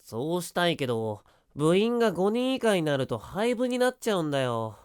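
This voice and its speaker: A performer playing an energetic, teasing teenage schoolboy in an anime-style voice drama, with boyish, slangy speech. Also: mid-range voice, moderate pace, smooth, no room sound, clean studio sound, close to an everyday voice. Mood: earnest, persuasive. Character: energetic, upbeat teenage schoolboy, passionate dancer.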